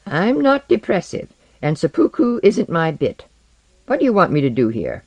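A reader voicing a sneering, bitter loner man, pitched up. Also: huge pitch swings, moderate pace, no room sound. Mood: jeering. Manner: cutting, precise, jeering.

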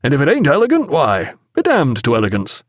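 A man's voice: mid-range voice, wildly swooping pitch, a little fast, no echo, clean studio sound. Man playing a hearty, blustering navy captain. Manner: exclamatory, old-fashioned naval turns of phrase.

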